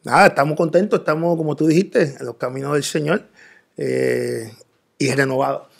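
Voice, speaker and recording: A middle-aged man from the Dominican Republic raised in Rico, answering interview questions calmly with many pauses and fillers. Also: a little high, vocal fry. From Dominican Republic, raised in Puerto Rico. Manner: calm, hesitant, many fillers. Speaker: interview guest. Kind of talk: casual interview. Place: pink studio set. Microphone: boom studio mic.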